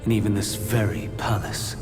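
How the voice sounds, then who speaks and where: An actor playing a scheming, courtly villain in a fantasy game, with formal, grandiose and deliberate diction. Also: male voice, lowish voice, natural voice. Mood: grave, persuasive. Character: scheming, courtly male villain, smooth seducer with grand menace. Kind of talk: theatrical fantasy game acting. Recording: loud music behind the voice. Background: dramatic music.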